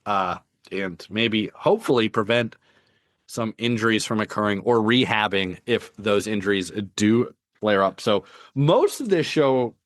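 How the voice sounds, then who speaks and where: A man's voice: fairly low pitch, lively intonation, unhurried, no echo, clean studio sound. A man hosting a podcast, asking his guest short, curious questions and agreeing easily. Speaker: podcast host. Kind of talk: casual podcast interviewing.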